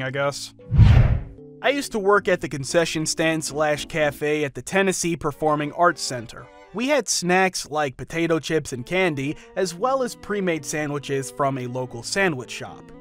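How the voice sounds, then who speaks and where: A man narrating first-person workplace stories from a script, with sudden jumps up in pitch and loudness mid-sentence. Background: faint background music.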